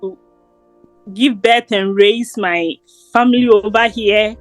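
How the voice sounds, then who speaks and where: A young woman with a Ghanaian accent speaks in an earnest, encouraging way, doubling back on her words. Her voice sits in a middle pitch and sounds close and dry, with faint music underneath. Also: fairly even intonation, unhurried, no room sound. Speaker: interview guest. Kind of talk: casual interview.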